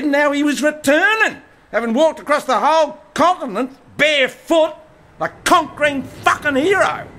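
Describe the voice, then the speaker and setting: A man's voice: extremely high pitch, a bit bright, slight background noise, exaggerated voice. An actor with an Australian accent playing a brash, profane bohemian man telling a swaggering yarn in a radio play. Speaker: bohemian blues musician and storyteller.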